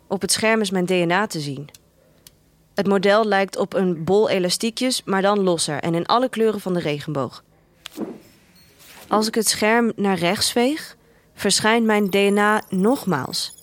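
An actress playing an introspective young woman who narrates her life in a dystopian future, in measured, deliberate first-person literary storytelling. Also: mid-range voice, natural voice. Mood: detached, tense. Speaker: narrator of a radio drama. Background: music.

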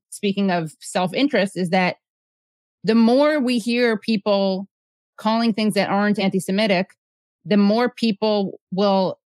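A man's voice: sits high, dry recording. A man, a writer and analyst, speaking earnestly and emphatically as an interview guest. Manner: earnest, emphatic, occasional restarts. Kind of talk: interview, opinionated commentary.

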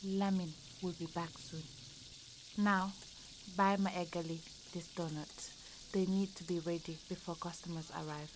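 A woman's voice: lowish voice, steady tone, moderate pace, background noise, lightly acted. An actress with a Senegalese accent playing a weary, worried, devout mother and grandmother in an audio drama. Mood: gently reassuring. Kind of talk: radio drama acting.

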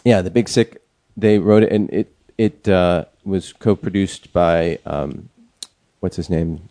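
A man in casual conversation about upcoming films, rambling and pausing often to search for names. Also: low-pitched, steady tone, gravelly, mellow, no room sound. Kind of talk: casual movie chat.

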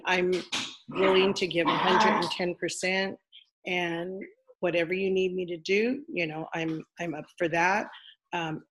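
Deep-voiced older woman, gravelly, chatty. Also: fairly bright tone, a little hiss or hum, rough recording. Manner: chatty, rambling, many fillers. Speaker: former wrestler, interview guest. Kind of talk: casual interview.

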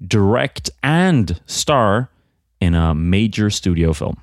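A man's voice: on the low side, big pitch swings, slow, dry recording, clean studio sound. A man hosting a comedy podcast, asking questions with many hesitations and fillers. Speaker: podcast host.

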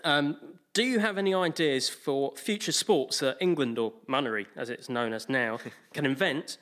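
A man's voice: mid-range voice, normal speed, a bit bright. A man in a live theatre audience asking a jokey question.

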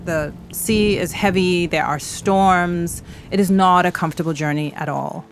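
A woman from East Africa narrating a scripted documentary as host, in a measured, serious manner. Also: lowish voice, slight background noise. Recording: music bed behind narration. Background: music.

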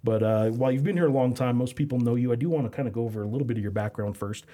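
A man with a soft-edged, mellow voice hosts a sports podcast. He speaks casually and conversationally, circling into his questions with rambling phrasing. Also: medium pitch, racing. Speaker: podcast host, interviewer.

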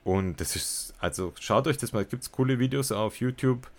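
A man's voice: mid-range voice, normal speed. A man chatting casually as a podcast co-host, enthusiastic and colloquial with bits of English slang. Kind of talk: casual podcast chat and product review.

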